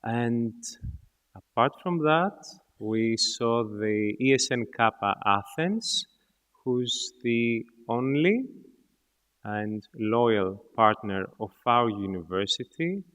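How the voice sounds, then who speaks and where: A man moderates a formal event with deliberate, halting phrasing. His voice is smooth and very unhurried, resounding in a reverberant hall. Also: mid-range voice, expressive, a bit bright. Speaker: event host. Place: large indoor hall. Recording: echoey hall with long reverb.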